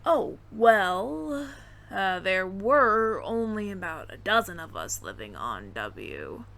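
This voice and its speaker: A woman's voice: mid-range voice, big pitch swings, takes it slowly, gravelly, a bit bright, full character voice. A woman voicing a friendly, flustered alien roommate who passes as human, speaking to the listener in a hesitant, stammering, earnest ramble in an audio roleplay. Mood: wistful, candid.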